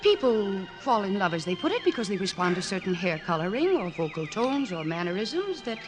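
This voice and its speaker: Woman acting an earnest, expressive lady psychiatrist. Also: mid-range voice, a little fast, natural voice. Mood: earnest lecturing. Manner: precise, formal, earnest. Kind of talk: 1940s radio drama acting. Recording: old radio broadcast, music behind.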